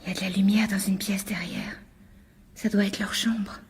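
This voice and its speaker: An actress in a radio drama playing an uneasy young woman, soft and breathy, who questions things hesitantly. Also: mid-range voice, little pitch movement, normal speed. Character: uneasy young woman, timid, suspicious, apologetic.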